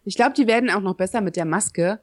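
A woman's voice: medium pitch, fast talker, darkish tone, dry recording, studio quality. A woman co-hosting a podcast, bantering casually and playfully about a TV series. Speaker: podcast co-host.

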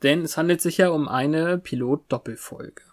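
A podcast co-host chatting casually about a TV series in a halting, spontaneous way. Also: mid-range voice.

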